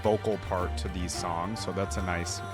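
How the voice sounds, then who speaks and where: A man's voice: low-pitched, fairly even intonation, moderate pace. A man hosting a reaction video, thinking aloud in casual, informal commentary. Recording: music playing behind the voice.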